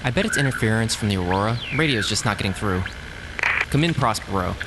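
A man's voice: lowish voice, expressive, fast talker, very noisy. An actor playing a male Antarctic research scientist in an audio drama, dryly joking over a dead radio. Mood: calm, weary. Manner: dry joking, then frantic mayday cries. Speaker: scientist at polar station.

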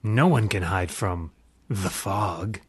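A man's voice: deep voice, takes it slowly, breathy edge, exaggerated voice. A man playing a suave, pompous playboy who is secretly a mysterious crimefighter, in theatrical old-time radio parody style. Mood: grandly mysterious. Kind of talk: parody of 1940s radio drama.

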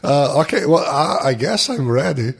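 A man with a husky, gravel-edged voice talks casually as a podcast guest. He sounds tired and barely awake, wandering from clause to clause in an informal, self-deprecating way. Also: fairly high pitch, expressive, a little slow. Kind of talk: casual chat.

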